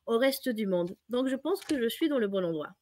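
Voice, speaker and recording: A woman's voice: fairly high pitch, expressive, a little fast, a little mellow, no room sound, studio quality. A woman from Mexico with a Mexican Spanish accent, explaining her work as an interview guest. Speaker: interviewed biotech industry professional.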